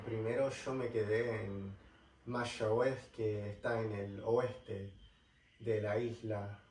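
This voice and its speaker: A young man from California speaking with an Argentine accent in a casual vlog, halting and searching for words, recorded in a rental apartment. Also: lowish voice, darkish tone. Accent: Argentine Spanish. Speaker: travel vlogger. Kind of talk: casual talk to camera. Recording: roomy, distant mic, faint ambience.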